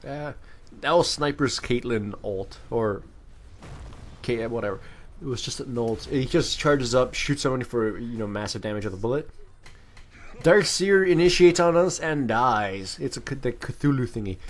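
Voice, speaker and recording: A man's voice: medium pitch, animated, moderate pace. A man giving casual, off-the-cuff gameplay commentary, rambling and correcting himself in crude, slangy language. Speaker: gaming video commentator.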